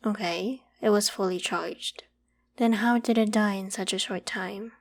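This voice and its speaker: A woman voicing a polite, protective young female werewolf with a secret, in a gentle, reassuring ASMR roleplay. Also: medium pitch, steady tone, a little slow, natural voice. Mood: caring, inquisitive. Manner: gentle, reassuring, hesitant when evasive. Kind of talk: ASMR roleplay, acted one-sided conversation.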